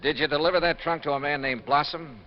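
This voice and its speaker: An actor playing a brisk, hard-boiled insurance investigator in a 1950s detective radio drama, with clipped, pressing delivery. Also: male voice, fairly high pitch, lightly acted. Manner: clipped, pressing questioning, terse narration. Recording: muffled, hissy old radio recording.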